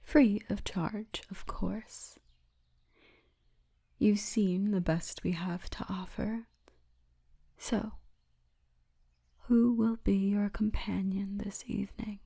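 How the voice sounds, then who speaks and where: A woman voicing a big orc guardswoman, gentle, wry and commanding, in a hushed, breathy ASMR roleplay murmur. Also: medium pitch, fairly even intonation, slow, pauses often, exaggerated voice. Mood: formal, teasing.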